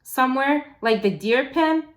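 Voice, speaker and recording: A woman's voice: sits high, lively intonation, measured pace, pitched above the performer's own voice. A woman voicing a feisty, bossy young girl from a storybook, insistent and sarcastic as she pleads. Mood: exasperated, sarcastic.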